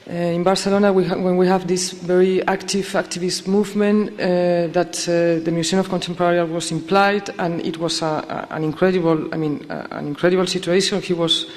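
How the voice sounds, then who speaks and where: Halting woman in a reverberant hall. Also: lowish voice, steady tone, a little mellow. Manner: hesitant, many fillers and restarts. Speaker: conference speaker. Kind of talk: halting conference discussion. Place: conference hall.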